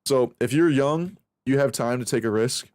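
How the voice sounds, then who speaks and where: Young male streamer, mid pitch, loose and profane rambling, close dry sound. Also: normal speed, a little mellow, no echo. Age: 20s. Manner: rambling, profane, self-reflective, restarts a lot. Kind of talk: casual stream chat while aim training.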